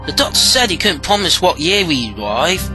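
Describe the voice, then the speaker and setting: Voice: mid-range voice, big pitch swings, moderate pace, sharp tone. A young actor playing an anxious, naive teenage boy from colonial times in an audio drama. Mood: anxious, overwhelmed. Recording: clear music behind the voice.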